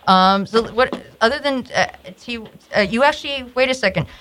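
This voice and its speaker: A woman's voice: fairly high pitch, normal speed, fairly bright tone, a little hiss or hum. A woman from New York City hosting an internet radio show in casual studio chat, laughing often and talking with lots of fillers and restarts. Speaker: radio host.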